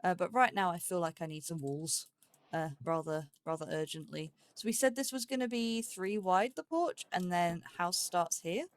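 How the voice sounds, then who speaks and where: A woman's voice: on the low side, normal speed, no echo. A woman giving casual gameplay commentary as host, thinking aloud in short, broken phrases and playfully talking to herself. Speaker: let's play host.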